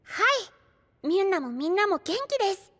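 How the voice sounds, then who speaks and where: A woman's voice: very high-pitched, animated, moderate pace, big-room sound. A woman voicing a small, sweet, polite and diligent young character in an anime audio drama, speaking earnestly.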